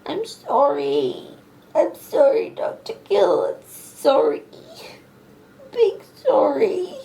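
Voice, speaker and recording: A woman's voice: sits high, wildly swooping pitch, extremely slow, gravelly, mellow, voice pushed up, full character voice. Woman putting on a sobbing little-girl voice. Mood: tearful. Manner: childlike, sobbing, pleading. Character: tearful grown woman regressed into a little girl.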